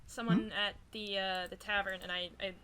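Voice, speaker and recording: Clear background noise sits behind a woman of medium pitch. She plays a tabletop roleplaying game in a casual, hesitant way, often trailing off and restarting her thought. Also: level tone, moderate pace, sharp tone.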